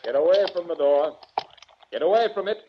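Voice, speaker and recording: A muffled old radio recording presents an actor as a controlling male asylum official. His mid-pitched voice rises and falls sharply. He sounds clipped, patronising and stern, in forties radio-drama manner. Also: animated, fairly quick, heavy background noise, lightly acted. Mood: commanding. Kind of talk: 1940s radio drama acting.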